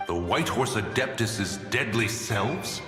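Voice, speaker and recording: An actor voicing a haughty, eccentric ancient immortal in a video game, speaking in grand archaic phrases. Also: male voice, fairly low pitch, animated. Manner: archaic, pompous, deliberate. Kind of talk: game voice acting. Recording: reverberant hall effect, music behind.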